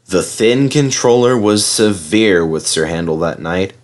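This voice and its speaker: A man reading a children's story aloud as an expressive narrator. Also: lowish voice, a little slow. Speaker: storybook reader.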